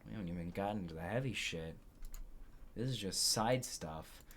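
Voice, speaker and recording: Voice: sits low, moderate pace, fairly bright tone. A gamer in a casual, sweary let's play, reading game dialogue aloud and commenting, with game music behind. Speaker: gameplay streamer and voice actor of the game's text.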